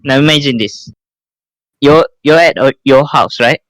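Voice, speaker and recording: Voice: medium pitch, a little slow, no room sound, low-quality recording. A podcast panelist in casual group chat, cutting in with short remarks and questions.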